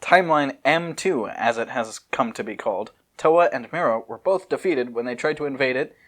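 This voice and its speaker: A man's voice: mid-range voice, expressive, normal speed. Casual man reading fan fiction aloud. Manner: breaks off from reading to comment and joke. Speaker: podcast host reading aloud.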